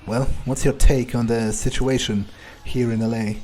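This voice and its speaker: A man's voice: medium pitch, little pitch movement, normal speed, darkish tone, soft background music, close to an everyday voice. A man roleplaying a callous, amused vampire in a video game, musing in a drawling, self-satisfied way. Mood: scheming, casual. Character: smug, amused, callous male vampire schemer. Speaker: gamer playing in character.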